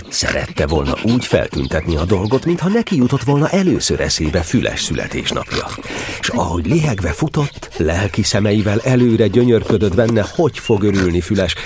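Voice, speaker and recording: A man narrating a children's story in an audio drama, with expressive scripted storytelling. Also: fairly low pitch. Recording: clearly audible background noise.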